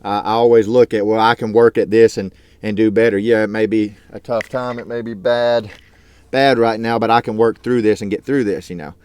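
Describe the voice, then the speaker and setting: A man's voice: fairly low pitch, fairly even intonation, fairly quick, darkish tone, clear, resonant tone, no room sound. A man in his thirties talking casually to his vlog from his truck, earnest and rambling with lots of fillers. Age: 30s. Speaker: truck driver and YouTuber.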